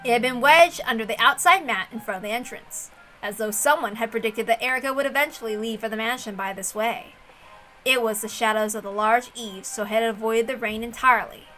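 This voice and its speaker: A woman reading a visual novel aloud as its narrator, in expressive scripted narration. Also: a little high, a little fast, poor audio. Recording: music under the voice.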